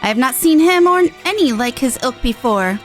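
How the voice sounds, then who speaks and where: A woman's voice: high voice, animated, faint music behind. Woman playing a proud, wary warrior woman. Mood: wary, challenging. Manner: formal, measured, questioning. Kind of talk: video game story dialogue read aloud.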